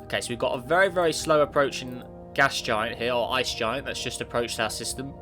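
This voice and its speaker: A man's voice: medium pitch, brisk. A man with a cold, chatting casually as he plays a space simulation game, rambling and talking back to the game. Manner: rambling, self-correcting, talks to the game. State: has a cold, sniffly. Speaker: gaming YouTuber. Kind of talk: casual gameplay commentary. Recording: clear background music. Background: background music.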